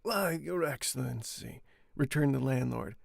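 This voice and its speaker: A man's voice: medium pitch, a little slow, gravelly, no echo, studio quality. A man voicing a fawning, self-satisfied old Italian innkeeper with a put-on Italian accent and a formal, obsequious manner. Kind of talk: audiobook character reading.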